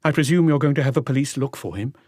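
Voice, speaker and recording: A man voicing an anxious, dignified middle-aged widow with a halting, earnest, stiffly polite manner in an audiobook. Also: medium pitch, expressive, darkish tone, dry recording, studio quality, pitched above the performer's own voice, natural voice. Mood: guarded, wounded.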